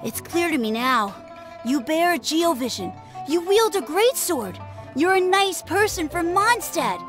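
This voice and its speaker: An actor voicing an excitable, boastful young boy in a video game, with grandiose, dramatic delivery. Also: very high-pitched, unhurried, music in the background. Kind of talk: video game character dialogue.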